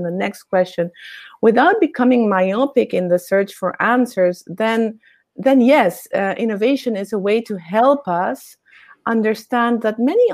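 A woman's voice: medium pitch, darkish tone. A middle-aged woman from Argentina, a podcast guest talking reflectively and at length. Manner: reflective, expansive, laughs easily. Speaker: podcast guest, innovation consultant and coach. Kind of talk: conversational podcast interview.

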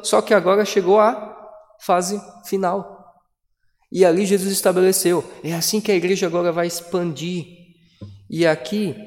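A man preaching a sermon as pastor, with dramatic pauses and emphatic delivery. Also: high voice, darkish tone. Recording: long hall reverb.

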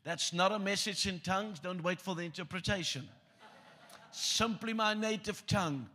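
A man's voice: sits high, normal speed, sharp tone. A man from South Africa whose first language is Afrikaans, preaching a sermon with joking asides and reading scripture aloud. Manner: joking, self-deprecating asides.

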